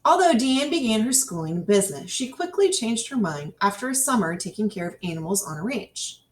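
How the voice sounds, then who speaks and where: A woman reads an educational nonfiction text aloud as a scripted narration, with careful, clearly phrased delivery. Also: medium pitch, very animated, fairly quick. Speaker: reader. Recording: distant microphone.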